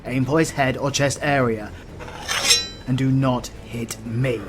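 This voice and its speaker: A man's voice: medium pitch, fairly even intonation, measured pace, heavy background noise, natural voice. A man with a British accent playing a stern, sardonic demon hunter who mentors his apprentice in a fantasy audio roleplay, dry and commanding. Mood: urgent, exasperated. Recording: music behind the voice. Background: music.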